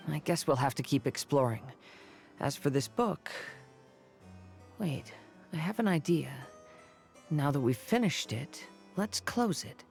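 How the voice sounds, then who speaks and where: A woman voicing a calm, courteous and thoughtful young adventurer in a video game, polite and measured. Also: low-pitched, gravelly, over music, exaggerated voice.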